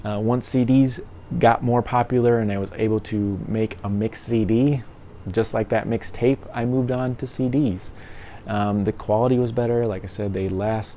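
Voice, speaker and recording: Male vlogger, middle-aged. Very mellow, lowish voice, loose rambling delivery, noticeable background noise. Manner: rambling, conversational. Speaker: music gear reviewer and vlogger. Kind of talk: casual opinion vlog.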